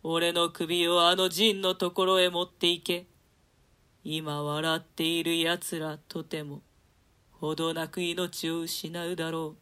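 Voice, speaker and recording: A woman's voice: fairly low pitch, little pitch movement, slow, a bit bright, clean tone. A woman playing a doomed, remorseful nobleman in a declamatory, archaic dramatic reading of Shakespeare. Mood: bitter despair. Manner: archaic, declamatory, swelling with emotion. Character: doomed, remorseful nobleman lamenting his own folly.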